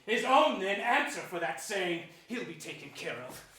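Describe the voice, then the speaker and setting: High-voiced scheming villain nobleman. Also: big pitch swings, pushed and bright, exaggerated voice. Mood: commanding, scheming. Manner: declamatory, sly, with drawn-out deliberate phrasing. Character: scheming, sardonic villainous nobleman, falsely courteous, plotting for the crown. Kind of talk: theatrical Shakespearean stage acting. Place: theater stage before an audience. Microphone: distant stage or camera mic. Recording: roomy stage pickup, distant, faint noise.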